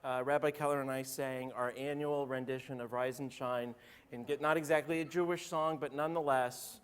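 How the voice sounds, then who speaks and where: A man, a rabbi with a slightly hoarse voice, giving a chatty, joking sermon and calling on congregants by name. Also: medium pitch, steady tone, normal speed. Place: synagogue sanctuary. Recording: roomy hall reverb.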